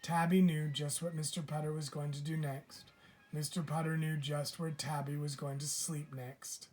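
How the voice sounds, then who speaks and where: A man's voice: a little high, little pitch movement, normal speed, darkish tone, faint music behind. Man reading a children's storybook aloud.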